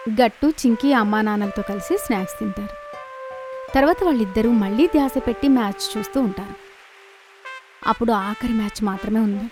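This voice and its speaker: A woman reading scripted narration for an animated children's story. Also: a little high, fairly quick, music in the background.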